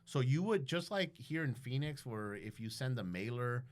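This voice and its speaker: A man's voice: mid-range voice, expressive, moderate pace. A man speaking casually as a podcast guest, telling stories in a blunt, profanity-laced way and acting out quoted dialogue. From Guatemalan background. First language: bilingual English and Spanish. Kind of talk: casual storytelling interview.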